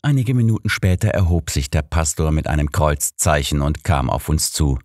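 A man's voice: extremely low pitch, moderate pace, a little mellow, no room sound, studio quality. A man narrating a story in a scripted audiobook read.

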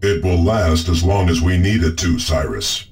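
An actor playing a resolute, steady space marine officer in a video game, speaking firmly and formally. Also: male voice, low-pitched, normal speed. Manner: firm, formal. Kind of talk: video game mission dialogue. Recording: distant voice under loud music, engine sounds.

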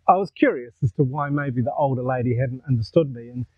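A man in his sixties with a New Zealand accent, telling stories to camera in a relaxed, conversational way on a lapel mic outdoors. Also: medium pitch, fairly quick, no echo, narrow, tinny audio. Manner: relaxed, conversational, gestures while talking. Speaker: vlogger. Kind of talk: casual storytelling to camera. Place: outdoors in a garden.

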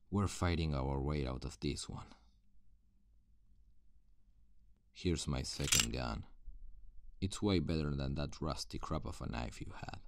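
A man playing a gruff, cynical loner surviving an apocalypse in an ASMR roleplay, terse and guarded, with a gravelly voice. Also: dry recording, studio quality. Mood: urgent, commanding. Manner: low, deliberate, terse and guarded. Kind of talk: ASMR roleplay acting, addressed to the listener.

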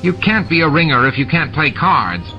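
A man voicing a scheming, greedy male alien bar owner, raising his voice high with indignant, wheedling protests. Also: expressive, moderate pace. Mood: protesting. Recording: dull lo-fi with music behind.

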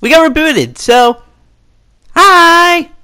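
A man's voice: very high voice, expressive, extremely slow, sharp tone, pitched above the performer's own voice, heavily acted. A man voicing a bubbly, eager little male skeleton for a comic dub. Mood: cheerful.

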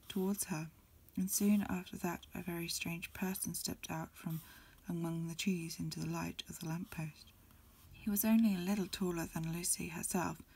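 A woman reading a storybook aloud as ASMR in a breathy, mostly whispered voice, a little hesitant, with small stumbles. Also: lowish voice, warm tone. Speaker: amateur reader. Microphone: close recording, lo-fi home setup.

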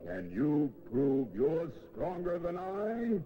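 An actor playing a boastful, blustering wind who taunts with gleeful scorn in a drawn-out, theatrical howl for a children's fable. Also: male voice, sits high, sing-song, narrow, tinny audio, exaggerated voice. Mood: boastful, challenging. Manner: drawn-out, howling, taunting delivery. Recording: noisy old 16mm educational film soundtrack.

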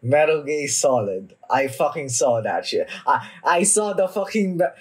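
Young man, reaction host, slow pace, slightly rough husky tone, halting, repetitive, casual swearing. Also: a little high, big pitch swings, darkish tone. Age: young adult. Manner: halting, sweary, repeats phrases. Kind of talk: casual off-the-cuff reaction commentary. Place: small room indoors at home.

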